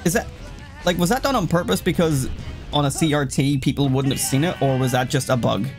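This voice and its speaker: A man commentates a video game over loud music in a casual, sweary way. He rambles through his thoughts at a middling pitch and keeps interrupting himself to react to his play. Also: brisk.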